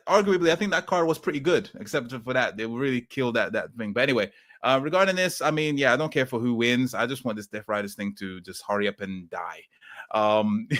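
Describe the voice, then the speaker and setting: A man's voice: medium pitch, a bit bright, no room sound. Guy rambling on a podcast, lots of ums, dead dry mic. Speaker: podcast co-host. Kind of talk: casual chat.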